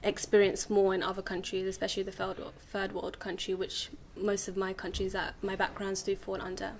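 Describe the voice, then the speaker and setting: A young woman talks steadily in a flat, even voice, pausing often on ums as she thinks. A faint background hum sits under the recording. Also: mid-range voice, vocal fry. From England. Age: young adult. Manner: even, measured delivery with frequent ums. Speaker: guest. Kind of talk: casual studio interview.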